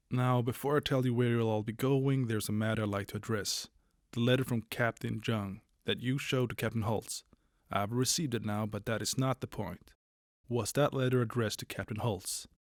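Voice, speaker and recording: Army major, male actor, mid-pitched: officious, petty, awkwardly hesitant; audio drama, close dry recording. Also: fairly even intonation, normal speed, dry recording, natural voice. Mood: peeved. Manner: hesitant, clipped, bureaucratic. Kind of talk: post-apocalyptic audio drama acting.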